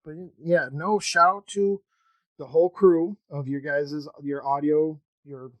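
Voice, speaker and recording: Halting male podcast host, fairly high voice. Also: steady tone, no echo, lo-fi sound. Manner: halting, rambling, informal. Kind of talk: casual interview chat.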